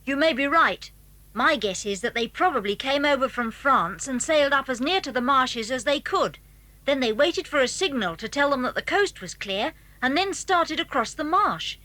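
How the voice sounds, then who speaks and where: Bright, high-pitched schoolboy. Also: female voice, animated, normal speed, no echo. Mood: excited, conspiratorial. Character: keen, secretive schoolboy telling of his exploits. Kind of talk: scripted children's audio drama.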